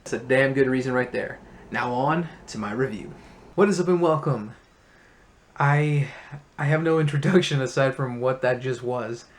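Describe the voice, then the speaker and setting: A man's voice: mid-range voice, moderate pace. A man hosting a casual movie review, talking as an enthusiastic fan in a room full of memorabilia.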